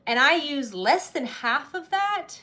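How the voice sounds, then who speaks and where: A woman's voice: sits high, animated, a little slow, pushed and bright. A woman in her thirties hosting a sewing channel, chatting casually with many restarts and frequent laughs. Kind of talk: casual livestream chat.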